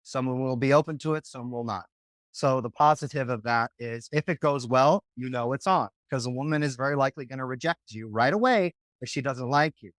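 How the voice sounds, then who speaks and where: A man's voice: mid-range voice, a little fast, fairly bright tone, no echo. Conversational man explaining, dry sound. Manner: conversational, often checks in with "right?". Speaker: dating coach. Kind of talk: podcast interview, explaining.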